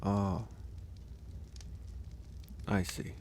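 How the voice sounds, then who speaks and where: A man playing a gentle, reflective werewolf caretaker in an intimate ASMR roleplay, soft-spoken and thoughtful with hesitant pauses. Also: lowish voice, completely flat delivery, slight background noise. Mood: sincere, reassuring.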